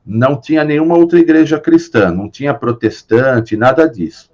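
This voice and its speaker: A man's voice: mid-range voice, normal speed, a little mellow, lo-fi sound. A Brazilian man teaching a philosophy class online, explaining patiently with many fillers and tag questions. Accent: Brazilian Portuguese.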